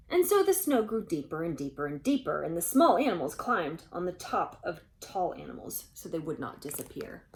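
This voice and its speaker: A woman reading a picture book aloud to children as a storyteller, animated and pausing to put questions to her young listeners. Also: lowish voice.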